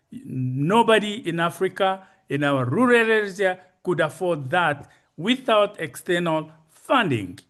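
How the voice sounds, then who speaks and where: An older man in his sixties or beyond with a Congolese accent, giving a slide presentation in a deliberate, explanatory manner and repeating key phrases for emphasis. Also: high-pitched, a bit bright. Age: 60s or older. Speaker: conference presenter.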